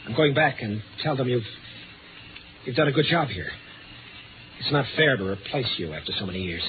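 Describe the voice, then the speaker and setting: An actor playing a dangerous but frightened man, tense and halting, in 1950s radio drama. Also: mid-range voice, lively intonation, background noise, lightly acted. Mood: halting, subdued. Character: menacing, frightened man lying in wait with a gun. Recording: narrow old radio sound, loud music.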